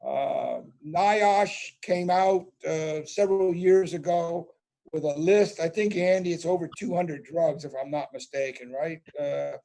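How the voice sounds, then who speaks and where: A man's voice: a little high, a little slow, creaky, warm tone. A man presenting to an online audience over a video call, explaining at length with frequent fillers and restarts. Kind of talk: webinar presentation.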